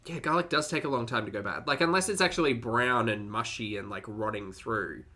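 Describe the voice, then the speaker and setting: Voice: mid-range voice, a little fast, sharp tone. A person giving casual reaction commentary as a video host, with an exasperated, sarcastic way of critiquing what they watch.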